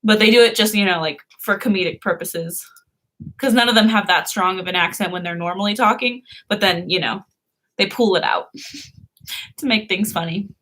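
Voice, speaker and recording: Young female streamer, casual, chatty and giggly, mid-pitched, talking to her viewers. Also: moderate pace, a bit bright. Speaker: live streamer. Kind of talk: casual live stream chat.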